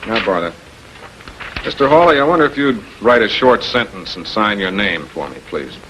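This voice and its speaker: An American actor playing a shrewd public prosecutor in 1940s television crime drama style, questioning in a deliberate, authoritative way. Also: male voice, lowish voice, lively intonation, a bit bright. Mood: measured, in control. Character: shrewd, composed male public prosecutor confronting murder suspects. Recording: noisy 1940s film soundtrack.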